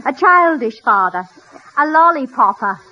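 A woman playing a playful, quick-witted professor's wife, wisecracking in 1950s radio comedy style. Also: sits high, sing-song. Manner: teasing, wisecracking. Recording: muffled, very noisy old radio, loud audience.

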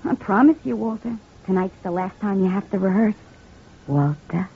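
An actress playing a scheming young wife in a 1940s radio drama, coaxing and sly. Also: female voice, medium pitch, lively intonation, normal speed, vocal fry, husky. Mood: sweet. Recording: dull old radio transcription.

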